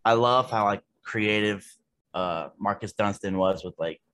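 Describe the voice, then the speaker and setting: A man's voice: on the low side, unhurried, fairly bright tone, no room sound. A man being interviewed about his horror film, talking in a laid-back way with casual swearing and lots of "like" fillers. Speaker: guest, horror filmmaker.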